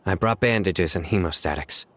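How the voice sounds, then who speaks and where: A man playing a steady, dutiful officer in a video game, composed and businesslike. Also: sits low, normal speed. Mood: calm authority. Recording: music behind the voice.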